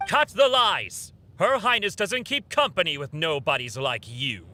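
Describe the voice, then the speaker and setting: A man's voice: a little high, lively intonation, a little slow, pushed and bright, full character voice. A man voicing an officious, scornful royal guard in an anime game, over music. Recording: music behind the voice.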